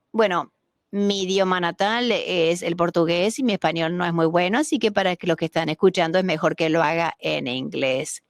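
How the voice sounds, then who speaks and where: A woman giving a formal panel presentation on a video call, halting at times with fillers and restarts. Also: on the low side, gravelly, no room sound, clean studio sound. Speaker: panel speaker.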